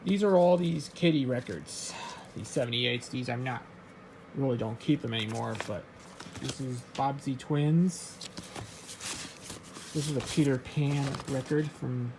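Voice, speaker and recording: A man casually showing his record collection, reading out titles in a halting, offhand way. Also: medium pitch, gravelly, background noise. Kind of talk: casual show-and-tell vlog.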